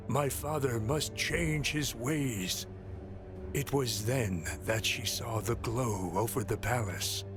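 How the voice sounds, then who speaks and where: A solemn male actor as storyteller narrates a dark pirate legend at an unhurried pace. His voice is mid-pitched and measured, set over prominent music. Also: natural voice. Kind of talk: dramatic scripted storytelling.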